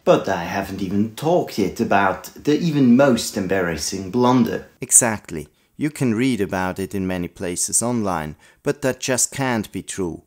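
Low-voiced man hosting a scripted retrospective. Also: expressive, normal speed, few pauses, soft background music. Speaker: video host, audio play collector.

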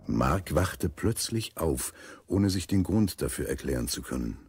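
A man narrating a children's radio play as a grave, unhurried storyteller. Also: low-pitched, big pitch swings, a little mellow, full character voice. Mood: suspenseful storytelling.

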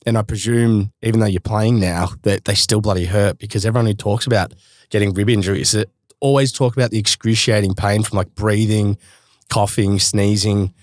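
A man in his thirties with an Australian accent, hosting a casual podcast interview and chatting matily with frequent laughs, recorded on a boom mic in a small studio. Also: fairly even intonation, a little fast, darkish tone, dry recording. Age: 30s. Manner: chatty, laughs often, matey slang. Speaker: podcast host. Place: small studio room with couch. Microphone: boom-mounted podcast mic.

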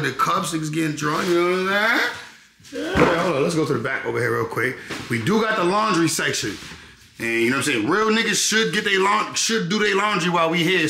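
Laid-back man touring an echoey apartment. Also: a little high. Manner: laid-back, repeats phrases, keeps asking "you know what I'm saying". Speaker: vlogger giving an apartment tour. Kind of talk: casual slangy walkthrough. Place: rental apartment, indoors then rooftop. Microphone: handheld camera held close. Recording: echoey rooms with clear background noise.

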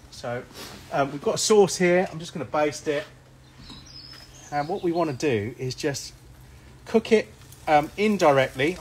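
A middle-aged man with a cold, hosting a live barbecue cook outdoors in a cold garden, chatty and jokey, filmed on a handheld phone. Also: fairly high pitch. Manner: chatty, jokey, rambling with ums. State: sniffly with a cold, coughing. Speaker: barbecue cooking host. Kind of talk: casual live cooking stream. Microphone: phone camera held by another person. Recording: outdoor background noise. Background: outdoor ambience.

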